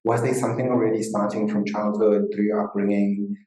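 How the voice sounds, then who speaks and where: A woman's voice: very deep voice, level tone, brisk, mellow. A woman hosting an interview, asking long, rambling questions with frequent restarts. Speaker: podcast host. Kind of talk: casual interview chat. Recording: echoey room.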